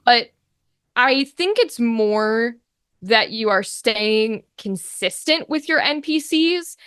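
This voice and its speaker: Woman guest chatting casually, kinda high voice, really dry sound, emphatic and informal. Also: expressive, slow pace, fairly bright tone, clear, resonant tone, no room sound. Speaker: interview guest, voice actor and game master. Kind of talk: casual conversational interview.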